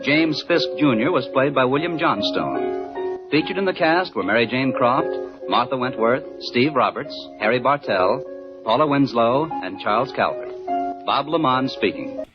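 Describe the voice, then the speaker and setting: A male announcer reads formally in 1950s radio style. His pitch moves in a lively way, with music clearly behind him and the muffled tone of an old broadcast. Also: a little slow. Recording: dull vintage 1950s radio recording.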